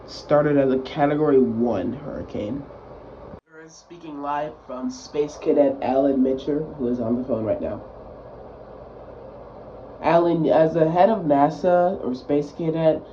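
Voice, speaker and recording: A man's voice: on the high side, mellow, lightly acted. A man playing a formal TV news anchor delivering a mock breaking-news report. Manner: formal, announcing. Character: formal male TV news anchor during emergency. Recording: muffled lo-fi audio, faint noise.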